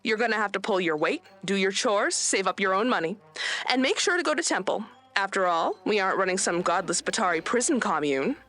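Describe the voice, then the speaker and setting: A woman's voice: mid-range voice, lively intonation, fairly quick, a bit bright, faint music behind, close to an everyday voice. A woman playing a chipper, bossy but affectionate alien guide in a radio play, matter-of-fact.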